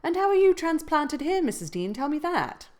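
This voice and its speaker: A woman voicing a polite gentleman visitor who speaks formally and asks questions. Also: sits high, very animated, moderate pace, voice pushed up. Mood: inquiring.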